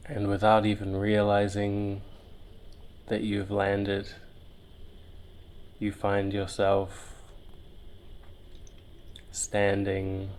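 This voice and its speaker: A man leading a guided visualization in a soothing, meditative way, leaving long pauses between short phrases. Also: fairly low pitch, monotone, darkish tone, clear, resonant tone.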